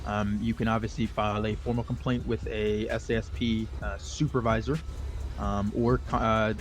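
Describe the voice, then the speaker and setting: A man's voice: fairly low pitch, flat delivery, moderate pace, lightly acted. A man playing a patient, accommodating police supervisor in online roleplay, explaining procedure in a hedging, repetitive way. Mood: patient, placating. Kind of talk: improvised in-character online roleplay dialogue.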